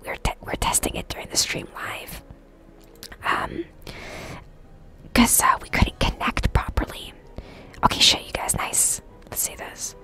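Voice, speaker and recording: A woman's voice: measured pace, long pauses, sharp tone, poor audio. A woman whispering breathily and chattily to viewers on a casual ASMR gaming live stream. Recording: music behind the whispering. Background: clear background music.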